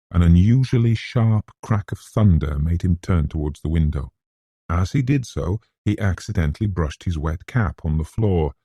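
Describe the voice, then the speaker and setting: A deep-voiced British man reads classic gothic fiction in a scholarly, formal way. His tone is dark and mellow, with a husky edge. The delivery is measured and literary. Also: no room sound, studio quality. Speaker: narrator. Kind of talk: scripted gothic audiobook narration in a mid-century classic manner.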